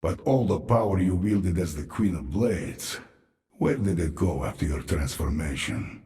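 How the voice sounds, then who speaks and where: A man's voice: low voice, lively intonation, measured pace, dark tone. A man playing a grave, solemn alien advisor in a video game cutscene, giving portentous counsel. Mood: ominous. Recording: echoing sound with game music behind.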